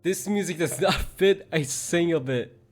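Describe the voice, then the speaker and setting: A man's voice: on the high side, unhurried, soft background music. A man streaming a casual reaction to a game, with slangy, muttered interjections.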